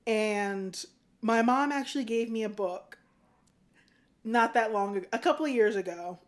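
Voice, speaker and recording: A woman's voice: a little high, normal speed. A woman in her thirties, a guest on a podcast, talking in an easygoing, reflective way and laughing often. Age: 30s. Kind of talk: casual chat.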